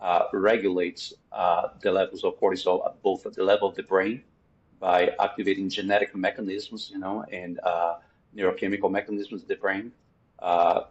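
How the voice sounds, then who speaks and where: A man's voice: deep voice, muffled recording. A man from Brazil with a Brazilian Portuguese accent, a psychiatrist guest in a podcast interview, explaining his background earnestly with many "uh" fillers.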